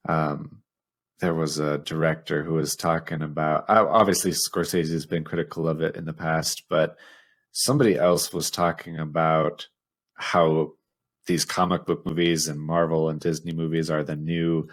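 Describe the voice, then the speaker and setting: A man with a notably low-pitched voice chats as a podcast co-host. His delivery is informal and conversational. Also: level tone, moderate pace. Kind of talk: casual podcast chat.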